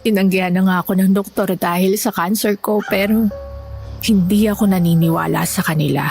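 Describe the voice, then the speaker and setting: A woman's voice: medium pitch, steady tone, fairly quick, creaky, darkish tone, soft background music, lightly acted. A woman playing a sick but hopeful and devout woman in an audio horror drama, speaking in an earnest, trusting way.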